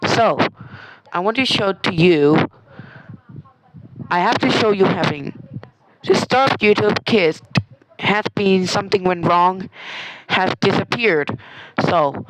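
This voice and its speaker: A speaker with halting, broken English, presenting the intro to a made-up emergency alert scenario. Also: mid-range voice, rough recording. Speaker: narrator, presenter.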